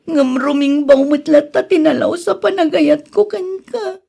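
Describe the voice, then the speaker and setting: Actress for lovelorn young woman in radio drama: high, gravel-edged, pleading, full of feeling. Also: measured pace, warm tone, exaggerated voice. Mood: tearful pleading. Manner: emotional, pleading. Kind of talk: Ilocano radio drama acting.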